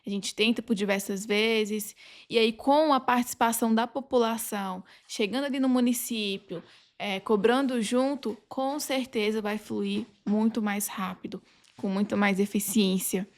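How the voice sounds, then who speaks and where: A woman's voice: medium pitch, fairly even intonation, normal speed. A woman with a Brazilian accent speaking as a podcast guest, explaining earnestly in a conversational way. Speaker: podcast guest, legal advisor.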